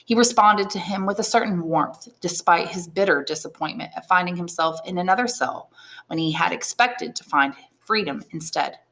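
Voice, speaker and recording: A woman with a fairly low voice narrates a classic novel like an audiobook. She reads expressively, as a teacher would, and sometimes adds a brief explanation. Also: moderate pace, fairly bright tone. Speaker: English teacher reading aloud.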